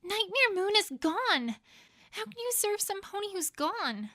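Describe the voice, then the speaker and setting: Terrified unicorn hostage, really high piercing voice, demanding, dry recording. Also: female voice, sing-song, unhurried, sharp tone, no echo, heavily acted. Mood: frightened disbelief. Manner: panicky, demanding. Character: frightened, indignant young unicorn mare held captive.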